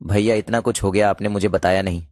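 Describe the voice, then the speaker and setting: A man's voice: lowish voice, steady tone, quick pace, a little mellow, dry recording, clean studio sound, natural voice. A man voicing a blunt, headstrong younger brother in a cartoon, an uncle who turns loving. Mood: warm. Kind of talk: cartoon voice acting.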